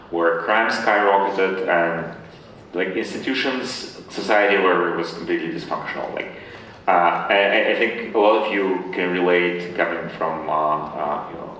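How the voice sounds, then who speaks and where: Echoey, low-voiced man speaking haltingly. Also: a bit bright. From Ukraine. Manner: hesitant, halting, repeating words. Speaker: interview guest, tech founder. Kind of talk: conversational Q&A answers. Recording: very echoey room, distant mic, faint noise.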